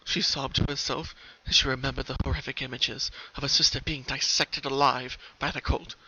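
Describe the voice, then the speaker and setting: A man's voice: medium pitch, level tone, fairly quick, bright, forceful tone, a little hiss or hum. A man giving a dramatic scripted narration as a fan fiction reader. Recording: dull, muffled home recording.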